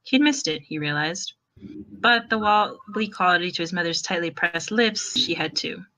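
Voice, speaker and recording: A woman's voice: lowish voice, normal speed, sharp tone, poor audio. A woman reading fan fiction aloud as an amateur narrator, in a wry, informal storytelling manner.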